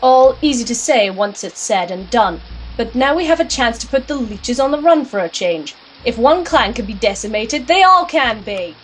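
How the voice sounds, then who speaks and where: Woman acting a high-voiced, sardonic alchemist rebel. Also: expressive, normal speed, a little hiss or hum, lightly acted, rough recording. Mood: challenging. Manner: wry, assertive. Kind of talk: first-person narration and audio drama acting.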